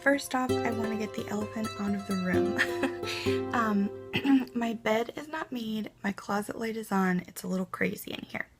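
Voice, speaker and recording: A young woman talks casually in her bedroom, her voice gravelly and a little froggy from a cold. She sits a touch high for a woman and fills her chat with ums and likes, sometimes dropping to a soft aside. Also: moderate pace, over loud music. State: slightly hoarse from a cold. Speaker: beauty vlogger. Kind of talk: casual haul chat to camera. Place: bedroom at home.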